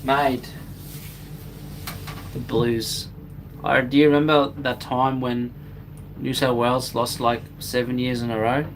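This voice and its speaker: A man's voice: mid-range voice, little pitch movement, slow pace, pauses often. A man originally from Germany, working as a crane operator and chatting casually with viewers of his live stream in a joking, laid-back way. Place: crane cab. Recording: distant mic with clear background noise.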